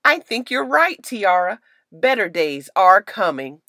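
A firm but loving storybook mother, played by a woman reader. She speaks in a measured, unhurried way with expressive rises and falls, in a dry sound. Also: medium pitch, no room sound, full character voice. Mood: softened, warm. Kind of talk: storybook character voice.

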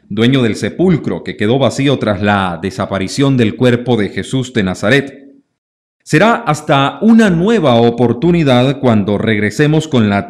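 An actor playing a formal radio reporter commenting on a trial in a radio drama. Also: male voice, mid-range voice, a little mellow, lightly acted. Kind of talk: scripted radio news commentary. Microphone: studio microphone. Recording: crowd murmur behind the voice.

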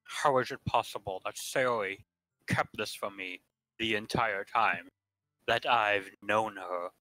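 A man's voice: lowish voice, unhurried, sharp tone, dry recording, poor audio. A man giving casual, joking commentary in a dry, teasing manner.

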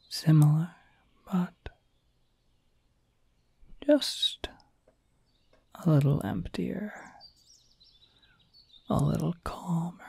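Man playing a slow, breathy whispering incubus. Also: a little high, mellow, no echo, full character voice. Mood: musing. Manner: unhurried, sly, intimate. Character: suave, teasing male incubus demon, talkative and cryptic. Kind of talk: whispered ASMR roleplay. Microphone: close microphone.

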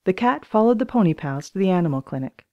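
A woman's voice: lowish voice, expressive, quick pace, darkish tone, highs cut off. A woman reading scripted children's book narration as an audiobook narrator.

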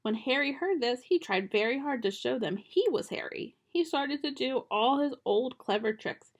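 A young woman reading a children's picture book aloud at home in a gentle storytime manner, recorded on a close webcam in a small bedroom. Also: sits high, animated, normal speed.

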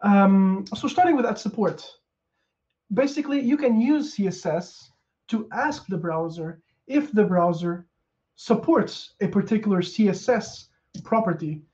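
A Portuguese man in his thirties gives a relaxed technical talk from a small room at home, speaking into a headset and filling gaps with ums and uhs. His voice is mellow and warm. The sound is dull and lo-fi, like audio from a video call. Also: high voice, measured pace. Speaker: conference speaker.

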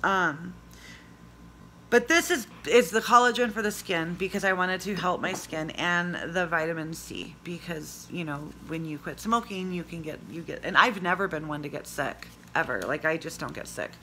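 Hoarse, chatty woman on a phone camera. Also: lowish voice, moderate pace, pushed and bright, slight background noise. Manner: chatty, rambling, reads labels aloud. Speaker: vlogger. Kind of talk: casual product review vlog. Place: small indoor room at home. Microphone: phone camera at arm's length.

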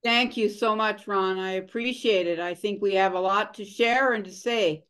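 Middle-aged woman explaining her work, calm and earnest, webcam audio. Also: medium pitch, normal speed, clear, resonant tone. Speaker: guest, consultant. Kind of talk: interview answers.

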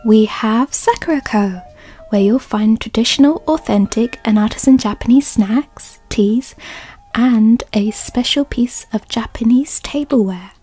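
A woman reading a sponsor segment in a soft, partly whispered ASMR style. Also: on the high side, husky. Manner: gentle, partly whispered, with soft tasting sounds. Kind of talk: soft-spoken ASMR ad read.

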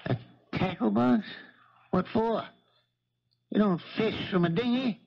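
A folksy old sea captain, higher-pitched. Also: male voice, a little slow, creaky, slightly rough, exaggerated voice. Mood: bewildered, indignant. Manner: folksy, ungrammatical working-man speech. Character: old charter boat captain, folksy, shaken and indignant. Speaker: radio drama actor. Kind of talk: 1970s radio drama acting. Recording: muffled vintage radio broadcast recording.

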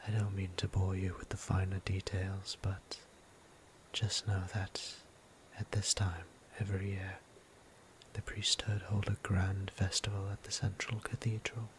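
A man playing a gentle, courteous vampire priest in an intimate ASMR roleplay, speaking formally and tenderly in a hushed whisper with deliberate pauses. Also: deep voice, level tone. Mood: reverent, explaining. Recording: clear ambient background noise.

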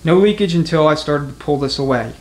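Higher-voiced man teaching in an echoey garage. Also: expressive, brisk. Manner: matter-of-fact, conversational. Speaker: transmission mechanic, instructor. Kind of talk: hands-on workshop tutorial. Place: indoor garage workshop. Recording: echoey garage with clear background noise.

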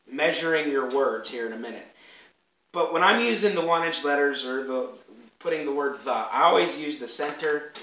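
A man in his thirties with a hoarse voice from a cold, casually explaining how he lays out a sign in his woodworking shop, rambling and correcting himself as he goes. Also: mid-range voice, bright, forceful tone. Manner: rambling, self-correcting, apologetic asides. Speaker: woodworking instructor. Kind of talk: casual workshop demonstration. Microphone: fixed camera across the workbench. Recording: echoey shop room.

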